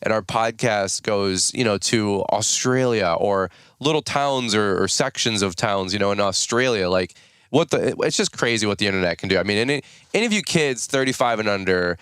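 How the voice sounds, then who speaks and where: An American man in his thirties hosting a podcast in casual, rambling chat, his voice a little raspy from just waking up. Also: fairly low pitch, expressive, moderate pace, fairly bright tone, no echo, clean studio sound. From United States. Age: 30s. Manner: chatty, rambling, folksy. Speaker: podcast host.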